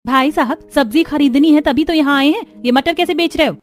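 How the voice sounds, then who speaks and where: A woman voicing a sharp-tongued, thrifty young village wife in a cartoon story, indignant and scolding. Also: high voice, a little fast, voice pushed up, natural voice. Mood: curt. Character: feisty, thrifty poor village daughters-in-law, sharp-tongued women. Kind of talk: Hindi cartoon story acting. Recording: music behind the voice.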